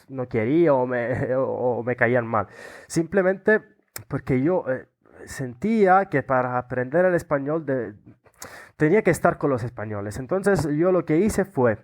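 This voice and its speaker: Italian young man speaking Spanish haltingly. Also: medium pitch. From Italy. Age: young adult. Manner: hesitant, many restarts and fillers, searching for words. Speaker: podcast guest. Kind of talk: casual interview chat.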